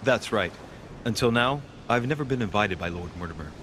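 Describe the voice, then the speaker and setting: A man voicing a wry young gentleman in a video game cutscene, dry and sardonic. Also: on the low side, lively intonation, fairly quick, noisy background, close to an everyday voice. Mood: guarded, polite. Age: young adult. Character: wry young gentleman searching for his missing mother. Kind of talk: video game cutscene acting and inner monologue.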